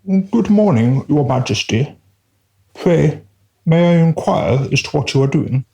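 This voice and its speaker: An actor playing a deferential, long-suffering royal adviser in a comic radio play, formal and hesitant with dry disapproval. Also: male voice, fairly high pitch, lively intonation, measured pace, vocal fry, darkish tone, slight background noise, low-quality recording. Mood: formal, concerned.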